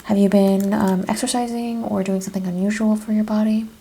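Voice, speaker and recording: A soft-spoken woman doing an ASMR chiropractic roleplay, giving gentle clinical questions and step-by-step instructions, often dropping to a whisper. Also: mid-range voice, flat delivery, fairly quick, a little hiss or hum.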